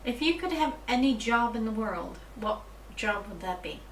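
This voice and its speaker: A woman's voice: fairly high pitch, animated, moderate pace. A woman interviewer asking casual questions, giggling often and encouraging her guest. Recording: distant, roomy mic with faint noise.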